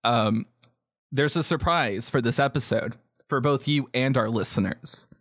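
A man's voice: mid-range voice, measured pace, fairly bright tone, no echo, studio quality. A man co-hosting a podcast in casual chat, enthusiastic and free with swearing. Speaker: podcast co-host.

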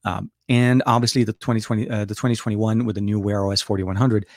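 A man's voice: fairly low pitch, little pitch movement, fast talker, dry recording, clean studio sound. A middle-aged man from Lebanon hosting a casual live Q&A, talking with many fillers and restarts and answering viewers' questions. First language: Arabic. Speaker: livestream host.

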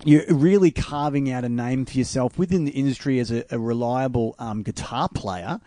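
A man's voice: mid-range voice, moderate pace, mellow, no echo, studio quality. A man in his thirties with an Australian accent, hosting a casual interview chat, laid-back and chatty, swearing casually. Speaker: podcast host and singer.

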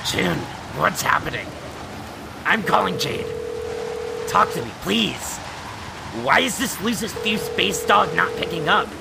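An actor in a fan-dub audio drama voicing a sardonic, sharp-tongued alien woman anxious about a friend, with a raspy voice. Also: mid-range voice, expressive, a little slow, long pauses, very noisy. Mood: uneasy, pleading.

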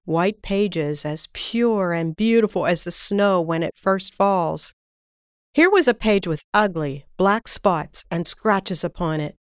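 A woman narrating a scripted children's story in a careful, expressive storytelling manner. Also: medium pitch, clear, resonant tone, no room sound, studio quality. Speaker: story reader.

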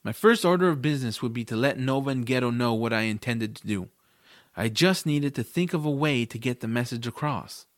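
A man's voice: mid-range voice, lively intonation, a little fast, no room sound, studio quality, close to an everyday voice. A man playing an earnest, self-doubting boy hero who narrates a fantasy audio drama in the first person. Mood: reflective. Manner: earnest, youthful phrasing.

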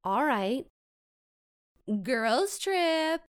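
A young American woman playing a protective, quick-tempered, devoted big sister in a modern audio drama. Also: high-pitched, big pitch swings, full character voice. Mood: subdued, caring.

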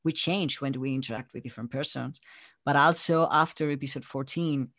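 A man's voice: mid-range voice, steady tone, brisk, fairly bright tone, no echo, studio quality. A man from Spain with a Spanish accent, chatting casually as an interview guest. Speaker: interview guest, audio drama creator.

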